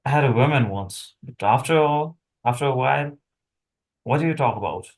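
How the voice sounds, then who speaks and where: Man playing a weary, wry assassin, medium-pitched. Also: normal speed, lightly acted. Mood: rueful. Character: weary, chatty male assassin, wry under threat. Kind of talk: screenplay table read acting.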